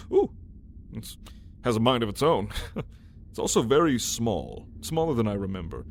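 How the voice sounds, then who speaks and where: Guy doing a lazy old dragon for asmr roleplay, talks real slow, teasing and wry, ambience noise behind him. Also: mid-range voice, sing-song, vocal fry. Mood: amused, delighted. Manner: unhurried, teasing, chuckling drawl. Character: old lazy sardonic male dragon, newly evolved, playful. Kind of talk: ASMR roleplay addressed to the listener.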